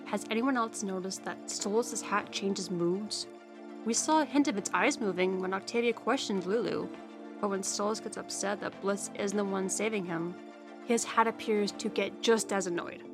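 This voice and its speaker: A young woman delivers scripted recap commentary, sounding chatty and inquisitive. Her voice is mid-pitched, with music clearly playing behind it. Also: moderate pace. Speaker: co-host.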